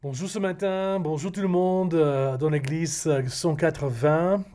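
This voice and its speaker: A middle-aged Texan man, a native English speaker, preaching slowly from a script in careful, halting French. His voice sits a bit high and he pauses often to find his words. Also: steady tone, dark tone. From Texas. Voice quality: halting non-native French with frequent hesitations. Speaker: pastor. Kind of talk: recorded video sermon read from a script.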